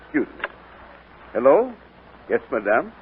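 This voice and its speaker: An actor playing an effusive, affectionate art dealer with a theatrical manner in a 1940s radio drama. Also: male voice, medium pitch, very animated, a little slow, slightly rough, full character voice. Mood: confiding. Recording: noisy, tinny 1940s radio recording.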